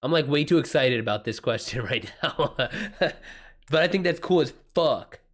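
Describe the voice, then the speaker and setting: A man's voice: a little high, animated, moderate pace. Casual, slangy man chatting on livestream. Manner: casual slangy talk, swears freely. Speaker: livestream host. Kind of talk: casual livestream chat with viewers.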